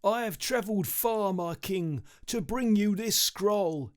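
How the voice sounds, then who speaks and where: A man's voice: high voice, slow pace, pitched above the performer's own voice. A man voicing a weary, humble storybook messenger who speaks in an earnest, deferential way. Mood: solemn.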